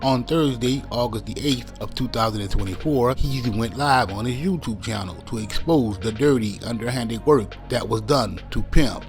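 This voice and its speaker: A man's voice: mid-range voice, moderate pace, hardly any pauses. Man narrating a dramatic documentary over music. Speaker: narrator of a hip-hop news channel. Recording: music bed under voice. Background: background music.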